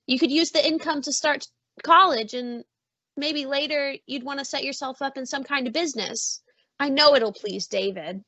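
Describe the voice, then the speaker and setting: A woman playing a doting middle-aged mother in an old-time radio drama, fond and gently persuasive. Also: high voice, normal speed, no echo, lo-fi sound. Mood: earnest, coaxing.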